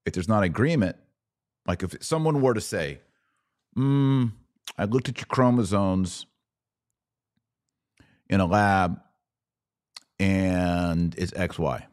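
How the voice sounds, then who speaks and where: A man's voice: deep voice, slow pace, pauses often, darkish tone. A middle-aged American man hosting a podcast, giving emphatic, argumentative commentary with rhetorical questions. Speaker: podcast host. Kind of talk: opinion commentary on played clips.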